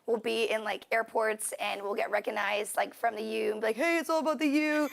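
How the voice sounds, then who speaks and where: Young woman athlete guest, quick talker, a bit high, some gravel in the voice. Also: fairly even intonation. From Puerto Rico. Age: 20s. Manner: fast, casual, with filler words. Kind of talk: interview answers.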